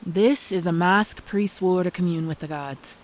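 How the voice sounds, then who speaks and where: An actress playing a mysterious, formal and composed stranger in a fantasy audio drama. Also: female voice, fairly low pitch, moderate pace, natural voice. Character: mysterious, composed woman stranger seeking lore.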